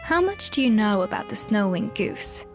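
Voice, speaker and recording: A woman's voice: mid-range voice, big pitch swings, normal speed, close to an everyday voice. An actress playing a proud young female chef in a video game, analytical and determined, speaking in earnest, articulate lectures. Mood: reflective. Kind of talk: scripted video game dialogue. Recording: music behind the voice.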